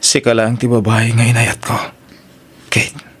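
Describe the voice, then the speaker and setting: A man's voice: medium pitch, monotone, a little slow, a bit bright, husky. A man acting a heartbroken, devoted young man in an Ilocano romance drama, earnest and wistful, with an Ilocano accent and music behind him. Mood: yearning, hurt. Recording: music bed under the voice.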